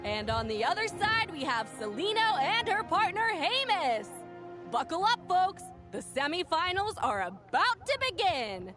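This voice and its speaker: A high, showy tournament announcer. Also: female voice, sing-song, unhurried, over music, heavily acted. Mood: announcing. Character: lively tournament announcer woman. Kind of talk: video game voice acting.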